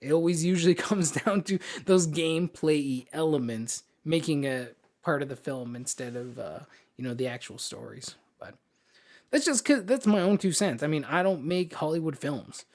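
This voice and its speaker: A man with a voice pitched a little above average speaks as a podcast co-host. He discusses things in a casual, opinionated way. His delivery is informal and emphatic, often repeating words to press a point. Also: lively intonation, normal speed, a little mellow.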